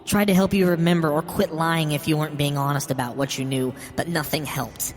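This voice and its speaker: A man's voice: a little high, steady tone, brisk, voice pushed up, lightly acted. Man voicing a grieving, bitter mother in reverb. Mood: bitter, resigned. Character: bitter, grieving old mother, wounded and resentful. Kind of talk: dramatic horror story reading. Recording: long hall reverb. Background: music.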